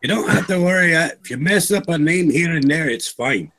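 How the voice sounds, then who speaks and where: A man's voice: fairly high pitch, animated, fairly quick, fairly bright tone, slight background noise. A man joking and teasing as a guest in a casual group chat. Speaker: panel guest.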